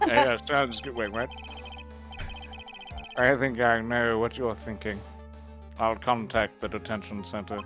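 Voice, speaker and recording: A man's voice: medium pitch, flat delivery, unhurried, long pauses, fairly bright tone, faint music behind, lightly acted. A man voicing a dry, formal, mildly exasperated prosecutor in a comedic game fan dub.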